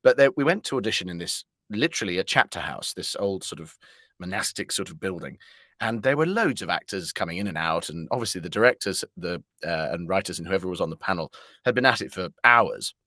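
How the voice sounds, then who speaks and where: Man with a lowish voice, rambling jovially. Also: lively intonation, a little fast, bright, forceful tone, no room sound, clean studio sound. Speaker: voice actor, interview guest. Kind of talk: casual interview storytelling.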